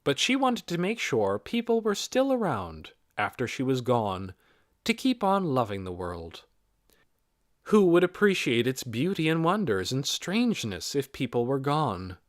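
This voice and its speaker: Male narrator for fiction audiobook, medium pitch, lively melodic range, very dry recording. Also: unhurried, no echo.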